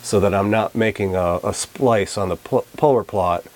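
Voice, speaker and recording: Casting: male instructor, 40s, slightly low voice, clear explanatory demonstration style. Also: moderate pace, darkish tone. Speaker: physics lab instructor. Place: small anechoic chamber, indoors.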